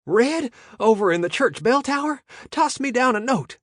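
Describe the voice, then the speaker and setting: A man voicing a panicked young outlaw lookout who rushes his warnings. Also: very high-pitched, animated, no room sound, studio quality, pitched above the performer's own voice. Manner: frantic, breathless urgency.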